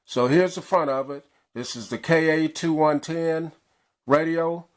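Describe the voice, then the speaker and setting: A middle-aged man casually reviewing a pocket radio, rambling along with frequent pauses and fillers. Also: on the high side. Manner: relaxed, rambling, frequent uhs. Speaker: gadget reviewer.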